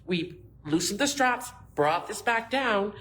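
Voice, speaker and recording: A middle-aged woman with a big voice, a bra fitter chatting casually and telling lively stories, acting out what her customers say. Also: medium pitch, takes it slowly, a little hiss or hum. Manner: lively storyteller, acts out customers' lines. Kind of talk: casual shop talk with stories.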